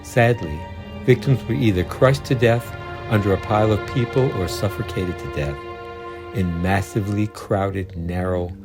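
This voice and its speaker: A man with a fairly low voice narrates in a scripted documentary style. He reads true accounts as a storyteller would for a video. Music plays clearly behind his voice. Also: moderate pace.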